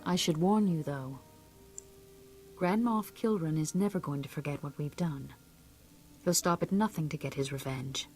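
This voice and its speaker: Casting: composed sci-fi game ambassador, gracious and formal, lowish creaky voice, light music underneath. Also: female voice, moderate pace, pauses often, a little mellow. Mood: grave warning. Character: poised, gracious female ambassador in a space opera. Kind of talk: scripted video game acting.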